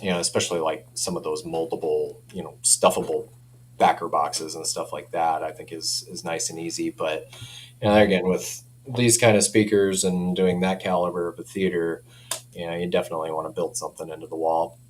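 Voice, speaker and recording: Deep-voiced man chatting informally, humming background. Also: steady tone, slight background noise. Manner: informal, hedging, quick to chuckle. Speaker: guest company representative. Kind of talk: casual product chat.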